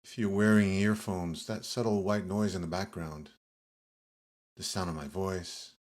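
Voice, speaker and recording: A man leading a guided meditation as the guide, speaking in measured short phrases with pauses between gentle instructions. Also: low voice, steady tone. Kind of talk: guided yoga nidra meditation.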